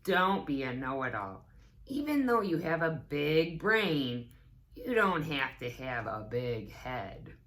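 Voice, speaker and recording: A woman reading a picture book aloud to young children as a teaching assistant, with expressive, deliberate phrasing and chatty asides. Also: deep voice. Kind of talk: children's story time read-aloud with asides.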